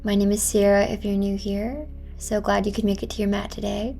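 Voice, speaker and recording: Gentle woman guiding yoga, slightly creaky voice. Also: medium pitch, little pitch movement, a little fast. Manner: gentle, encouraging. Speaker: yoga teacher. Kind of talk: guided yoga class with meditative cues. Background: music.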